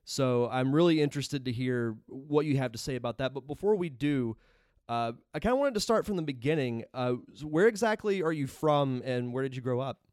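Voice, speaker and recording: A male podcast host with a smooth, resonant voice. He talks in a relaxed way with frequent fillers. The sound is close and dry, with no room echo. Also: mid-range voice, fairly quick. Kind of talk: casual interview chat.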